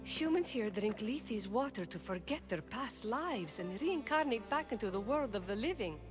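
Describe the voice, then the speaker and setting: A woman's voice: a little high, expressive, normal speed, over music, close to an everyday voice. An actress playing a serious, lovesick young woman in a video game, explaining earnestly. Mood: earnest, sombre. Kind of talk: video game cutscene acting.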